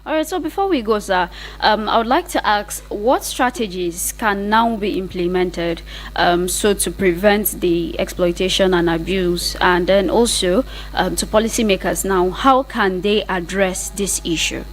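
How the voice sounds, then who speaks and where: Female radio interviewer, mid-pitched, faint hum and noise behind her. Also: measured pace, rough recording. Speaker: radio host interviewing a guest. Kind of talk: radio interview, asking questions and giving brief acknowledgements. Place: radio studio.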